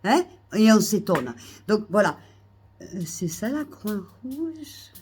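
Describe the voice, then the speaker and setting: A woman with a head cold delivers an indignant monologue straight to her followers. She circles back over her points, stumbles into restarts, and her voice climbs into loud, exasperated outbursts. The pitch sits in a middle register. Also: sing-song, takes it slowly, slight background noise. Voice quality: hesitant restarts and fillers. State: has a cold, coughing. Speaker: vlogger. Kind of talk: ranting monologue to camera. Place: Almeria, Spain.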